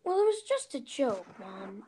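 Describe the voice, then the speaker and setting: A woman's voice: fairly high pitch, very animated, normal speed, dark tone, slightly rough, heavily acted. A woman playing a bratty, mischievous little brother in an amateur audio play. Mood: nervous excuses.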